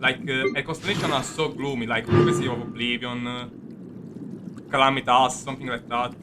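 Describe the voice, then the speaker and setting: Halting, rambling man streaming over game music. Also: medium pitch, fairly even intonation. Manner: halting, rambling, repeats himself. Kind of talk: casual gaming commentary, reacting to story.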